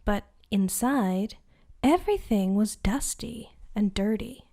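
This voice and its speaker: A woman narrating a bedtime story at a very slow, lulling pace. Her voice is soft and hushed, often dropping to a breathy near-whisper meant to soothe children to sleep. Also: mid-range voice, expressive. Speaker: host and storyteller.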